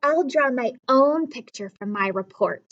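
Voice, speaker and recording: An excitable, curious young girl in a children's storybook, as a woman reader puts her on. She speaks high and exclamatory, drawing words out slowly. The recording is slightly dull. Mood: pleased. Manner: exclamatory, drawn-out storybook delivery.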